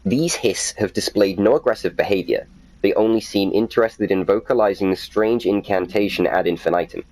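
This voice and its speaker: Low-voiced man, chatty gaming host. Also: brisk, darkish tone, rough recording. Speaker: gaming video host and reader. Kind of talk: casual gaming commentary and document reading.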